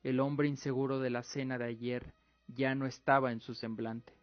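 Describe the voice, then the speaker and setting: A man's voice: medium pitch, flat delivery, moderate pace, no echo. A man reading dramatic scripted narration as the narrator of a radio drama. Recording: muffled audio with music. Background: faint music.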